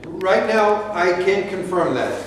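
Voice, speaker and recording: A man reading the part of a coach who gives measured, guarded answers to reporters in a staged play reading. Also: high-pitched, lively intonation, noisy background. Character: guarded man fielding the press. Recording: echoey hall from far off.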